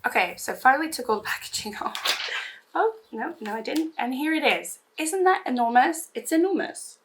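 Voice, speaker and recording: Woman, hoarse and gravelly from recent voice loss, casual rambling beauty vlogger. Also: high voice, animated, normal speed. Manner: rambling, self-deprecating, many fillers and restarts. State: recovering from lost voice, hoarse. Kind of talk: casual get-ready-with-me chat.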